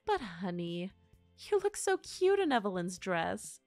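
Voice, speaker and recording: A woman's voice: mid-range voice, big pitch swings, measured pace, a little mellow, dry recording, soft background music. A woman playing a teasing, supportive friend in a naturalistic audio drama. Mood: playful. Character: playful, supportive girlfriend and friend in costume.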